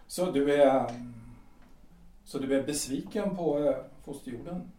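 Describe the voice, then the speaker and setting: A man playing an anguished, possessive husband in his forties in a period audio drama, halting and repeating his phrases. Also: on the high side, warm tone, a little hiss or hum, lightly acted. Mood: subdued, distracted. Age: 40s. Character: anguished middle-aged husband, lawyer and politician, pleading and possessive. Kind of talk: audio drama acting of a period stage play. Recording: roomy, distant microphone.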